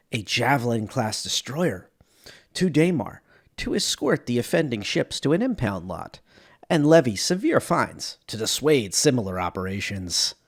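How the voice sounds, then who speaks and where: Expressive male narrator with a gravelly edge. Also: medium pitch. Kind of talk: dramatic reading of science fiction lore.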